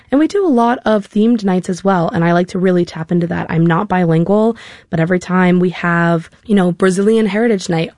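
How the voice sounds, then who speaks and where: Young American woman, low-pitched, dry sound. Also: darkish tone, no echo. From Massachusetts, United States. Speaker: interview guest, stadium public address announcer. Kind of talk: conversational interview answers. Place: radio studio. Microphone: close studio microphone.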